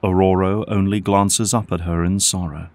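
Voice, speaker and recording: A man's voice: sits low, steady tone, normal speed, a little mellow, no echo. A man narrating a scripted audio drama as its storyteller.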